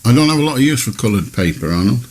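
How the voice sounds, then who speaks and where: A man's voice: medium pitch, big pitch swings, very fast, husky. A man from Warrington hosting a late-night radio phone-in, dry and patient as he corrects callers. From Warrington, England.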